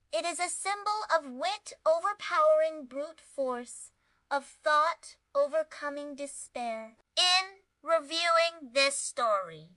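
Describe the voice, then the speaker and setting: A woman's voice: high-pitched, bright, forceful tone, no room sound, poor audio. A woman reading a scripted children's story review as narrator, with an earnest, emphatic and dramatic delivery.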